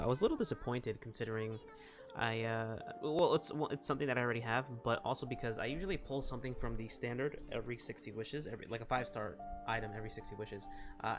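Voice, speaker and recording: A male gamer with a middle-pitched voice commentates casually on his play. He rambles in an informal, excitable way. Game music is clearly heard behind him. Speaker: gaming streamer.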